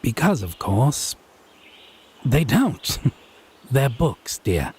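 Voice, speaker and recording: A man's voice: medium pitch, very animated, very slow, a little mellow, breathy edge, exaggerated voice. A man playing a smug, tipsy male fae who teases his lover with mocking, sardonic condescension in a theatrical audio roleplay. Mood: sarcastic. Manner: drawling, mocking, sardonic, pet names. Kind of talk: slow theatrical audio roleplay monologue addressed to listener.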